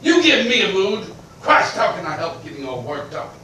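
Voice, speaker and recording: A man's voice: sits high, very animated, normal speed, heavily acted. A man plays a middle-aged landowner in a permanent fury, ranting in a raised voice. The sound is far from the mic, with heavy room echo. A faint hiss sits under it, as on an old stage recording. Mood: exasperated. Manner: ranting, blustering, swings from shouting to grumbling. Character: irascible blustering male landowner creditor, middle-aged. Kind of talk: theatrical stage acting.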